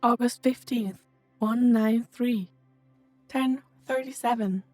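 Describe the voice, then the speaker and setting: An impassive female spaceship computer makes clipped, mechanical announcements. It is slow and fairly high, set over clear music in a sci-fi audio drama.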